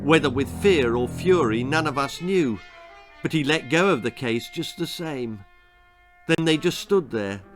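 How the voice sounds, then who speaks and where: A man reading a children's story aloud as a dramatic, expressive narrator. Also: medium pitch. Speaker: author reading his own story.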